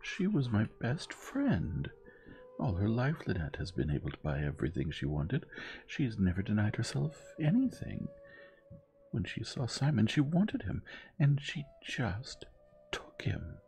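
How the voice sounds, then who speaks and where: Bitter jilted young woman, high and raised, slips into breathy whispers, acted by a man. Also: wildly swooping pitch, a little slow, darkish tone, faint music behind, full character voice. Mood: passionate. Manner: intense, breathy whispers between outbursts.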